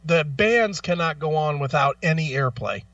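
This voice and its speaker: High-pitched, blunt, ranting man. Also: lively intonation, moderate pace, fairly bright tone, no room sound, far from the mic, muffled recording. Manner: blunt, profane, argumentative, emphatic; repeats phrases for emphasis. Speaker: talk show host. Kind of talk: opinionated music talk, ranting.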